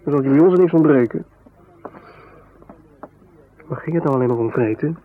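An actor playing a weary, sardonic artist husband in a 1970s radio drama, grumbling and bitter, with a husky voice. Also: male voice, on the high side, normal speed, a little hiss or hum, natural voice. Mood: brooding, bitter. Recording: thin phone-like vintage radio sound.

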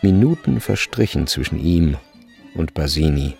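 An actor as the grave narrator of a literary radio play, speaking in a measured way. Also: male voice, rumbling low, expressive, vocal fry, darkish tone, soft background music, heavily acted.

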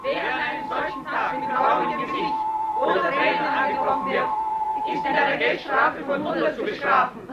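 A distant, muffled old radio drama recording has music and voices behind a male official. He chants in a dutiful singsong, extremely high, with a wildly swinging melody. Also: wildly swooping pitch, normal speed, pauses often, over a noisy crowd, exaggerated voice. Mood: chanting, dutiful. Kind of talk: 1950s German radio drama, chanted recitation.

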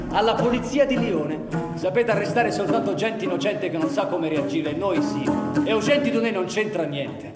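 A male actor playing an intense, forceful outlaw storyteller in a theatrical dramatic style. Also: high voice. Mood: defiant. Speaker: stage actor and narrator. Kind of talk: theatrical dramatic narration with live music. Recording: reverberant hall, loud music over voice.

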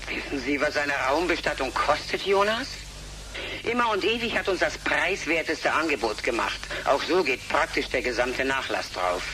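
A glib, condescending man played by an actor with a fairly high voice that swings in lively fashion. He is sarcastic and evasive, in a radio play with noise behind him. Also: normal speed, lightly acted. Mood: dismissive, sarcastic. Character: slick, evasive, condescending well-off male client. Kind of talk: radio drama acting.

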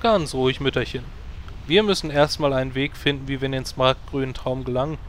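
Male actor as a sardonic guild boss, mid-pitched, takes charge. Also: steady tone, brisk, slight background noise, natural voice. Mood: bossy. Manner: bossy, sarcastic leader tone. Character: bossy guild leader. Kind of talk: parody audio drama.

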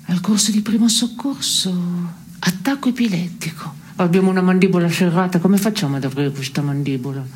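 An actress playing a disenchanted, caustic woman in absurdist stage dialogue, delivered deadpan. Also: fairly low pitch, fairly quick, slight background noise, lightly acted. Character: disenchanted, caustic woman sparring with her male partner. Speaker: stage actress performing a two-person play.

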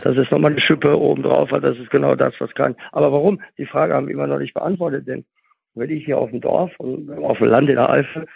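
A man with a husky voice, hosting a conversational interview as a therapist and explaining emphatically through questions he answers himself. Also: medium pitch, fairly even intonation, fast, no echo. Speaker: host and stuttering therapist. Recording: phone-like, band-limited sound.

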